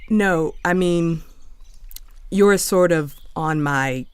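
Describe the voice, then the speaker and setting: A young woman playing a flustered, apologetic, naive young widow in an audio drama, talking in halting, casual modern phrases. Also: lowish voice, clean tone, no echo. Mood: awkward, eager. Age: young adult. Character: nervous, chatty, naive very young widow in 1864. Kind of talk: naturalistic audio drama acting.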